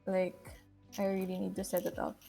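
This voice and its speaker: A woman's voice: mid-range voice, completely flat delivery, moderate pace, gravelly, dark tone. A woman chatting casually as she live-streams a game. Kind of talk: casual live-stream chat while gaming. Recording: game music behind the voice.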